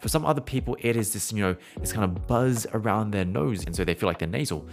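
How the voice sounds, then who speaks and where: A man teaching singing in a relaxed, conversational way, now and then humming or holding vowels to show a point. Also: fairly low pitch, fast, soft background music. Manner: conversational, demonstrates with hums and held vowels. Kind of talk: explanatory singing lesson, podcast.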